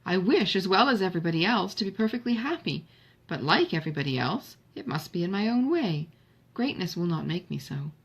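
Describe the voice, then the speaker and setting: A woman voicing a reserved, modest young gentleman in a novel, with a formal, self-deprecating manner. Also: on the low side, sing-song, fairly quick, breathy edge, exaggerated voice. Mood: subdued.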